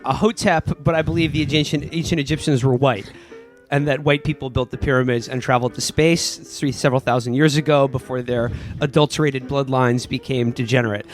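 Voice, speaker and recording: A man's voice: mid-range voice, fairly even intonation, fairly quick. A man playing a tabletop game, casually introducing his character in a hesitant, repetitive way. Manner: hesitant, repeats words. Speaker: player in a comedy tabletop game. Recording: faint music under the voice.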